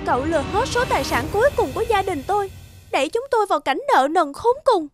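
A proud young cartoon maiden, cold and indignant. Her voice is high and curt, with music clearly behind it. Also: lively intonation, quick pace. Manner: curt, reproachful. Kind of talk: cartoon voice acting.

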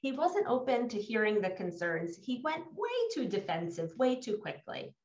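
A woman's voice: medium pitch, sing-song, moderate pace, a little mellow. A woman with a New York American accent, an executive coach presenting a webinar in an emphatic, self-assured way. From New York, United States. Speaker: executive coach leading a workshop. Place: indoor room on a video call. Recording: dull, roomy, distant webinar audio.

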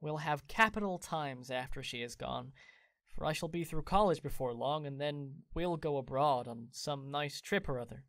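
An actor playing a cheerful, devoted young gentleman in an audio drama, playful in manner. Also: on the high side, moderate pace, dry recording.

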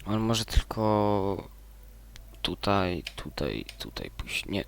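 A man's voice: deep voice, very animated, slow, creaky. A man playing chess and muttering his moves aloud, grumbling and scolding himself between moves. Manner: mutters through moves, self-deprecating, frustrated outbursts. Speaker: gaming streamer. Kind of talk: casual chess commentary while playing.

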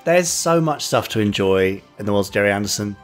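A man's voice: on the low side, animated, fairly quick, soft background music. A man co-hosting a podcast in casual banter, teasing and playfully mock-formal. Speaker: podcast co-host. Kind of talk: casual scripted-loose banter.

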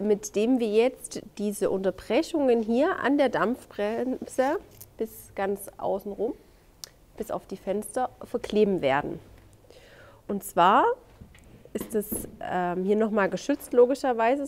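A woman's voice: mid-range voice, animated, takes it slowly, darkish tone. A woman showing a hands-on building step, chatty and playful, tossing in little sound-effect words. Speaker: tiny house builder. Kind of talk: casual hands-on demo.

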